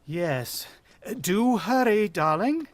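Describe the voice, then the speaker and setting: A man's voice: high-pitched, animated, very slow, dry recording, exaggerated voice. An actor playing a worried, watchful country father who also narrates, in the style of a vintage radio drama. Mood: anxious, coaxing.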